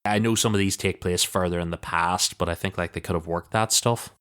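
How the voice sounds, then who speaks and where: A man with a deep, smooth and resonant voice chats on a podcast panel. He speaks informally, in a conversational way, as he thinks through his points. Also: fairly even intonation, fairly quick, fairly bright tone. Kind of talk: casual podcast discussion.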